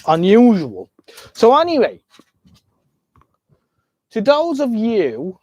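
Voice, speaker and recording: A man's voice: sits high, wildly swooping pitch, slow, darkish tone, no echo. Sniffly Irish man chatting live. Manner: chatty, rambling, talks to viewers. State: slight head cold, sniffly. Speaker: livestream host. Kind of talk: casual livestream chat. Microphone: desk microphone.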